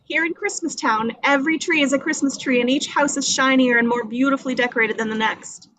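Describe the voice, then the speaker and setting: A woman's voice: high voice, steady tone, a little fast, fairly bright tone, low-quality recording. A woman reading screenplay action descriptions at a table read in a dramatic storytelling manner. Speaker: reader of stage directions. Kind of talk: scripted narration at a table read.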